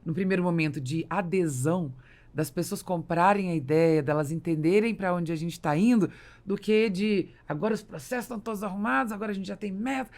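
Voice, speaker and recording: Brazilian female podcast host, lowish register with heavy vocal fry, affirming and explanatory in conversation. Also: brisk, darkish tone. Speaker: podcast host and business consultant. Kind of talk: conversational business podcast interview.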